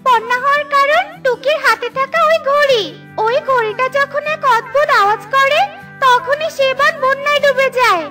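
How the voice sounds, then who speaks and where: A woman's voice: very high-pitched, normal speed, pushed and bright, soft background music, voice pushed up. A woman voicing a talking magic wand that gives solemn revelations and commands in a children's cartoon. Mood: solemn, urgent.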